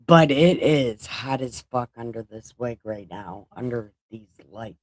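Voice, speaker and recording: A woman's voice: a bass voice, measured pace, darkish tone, dry recording. A woman around forty who reads scripture to a live chat in a casual way, with profane, joking asides between passages. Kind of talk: casual read-along chat.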